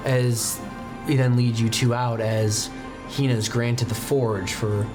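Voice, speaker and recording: A man working as game master, narrating a tabletop roleplaying game in a dramatic, halting way with informal asides. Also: medium pitch, flat delivery, music in the background.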